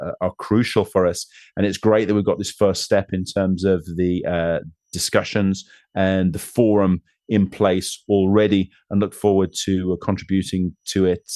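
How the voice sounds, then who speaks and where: Deep-voiced man giving halting conference remarks. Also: a little mellow, no echo, clean studio sound. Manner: halting, many fillers and restarts. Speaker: panel speaker.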